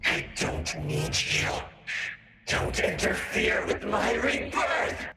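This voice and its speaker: An actor playing an entranced, resentful young man overtaken by plants in a video game, speaking haltingly. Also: sits high, very animated, music in the background, crowd noise, exaggerated voice. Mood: defiant, anguished. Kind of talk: English video game voice acting.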